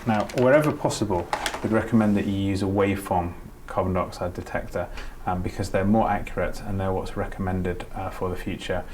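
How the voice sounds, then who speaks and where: Low-voiced man teaching a practical skill. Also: steady tone, brisk, hardly any pauses, background noise. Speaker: doctor giving a training demonstration. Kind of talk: spoken practical instruction.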